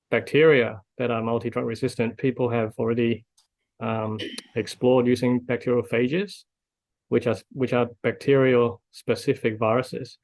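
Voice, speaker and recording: Flat-voiced man asking a halting question. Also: mid-range voice, poor audio. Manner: halting, polite, repeats words. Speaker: online audience member asking a question. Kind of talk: academic question.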